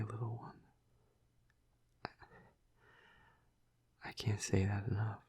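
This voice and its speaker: A man playing a tormented, tender vampire lover in an intimate audio roleplay, halting and stammering in a breathy, husky half-whisper. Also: on the low side, darkish tone, exaggerated voice. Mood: apologetic, tender.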